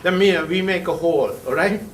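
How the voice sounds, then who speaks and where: A man's voice: fairly high pitch, a bit bright, far from the mic, a little hiss or hum. Man, lecturing in an echoey room. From Sri Lanka. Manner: halting, many "uh" pauses, checks in with "right?". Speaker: chemistry professor. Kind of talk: classroom lecture. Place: lecture classroom. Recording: echoey classroom heard from afar.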